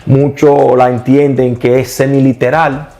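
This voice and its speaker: A man's voice: mid-range voice, little pitch movement, measured pace, warm tone, soft background music. Earnest middle-aged man explaining, with stumbles. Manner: earnest and explanatory, often agreeing with stumbles and restarts. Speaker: co-host of a Bible study channel. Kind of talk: casual religious discussion.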